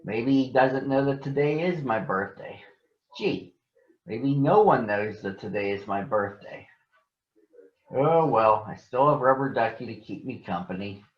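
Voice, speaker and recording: A man's voice: medium pitch, expressive, measured pace, reverberant, dull audio, natural voice. Man reading as an earnest childlike storybook boy. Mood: wistful.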